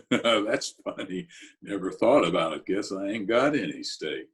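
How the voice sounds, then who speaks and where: A gruff Broadway big shot with a soft heart, played by a man for a radio play. He speaks very low and husky, in clipped slang at an unhurried pace. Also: expressive, exaggerated voice. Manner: gruff, laconic street slang. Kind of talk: 1940s radio drama acting.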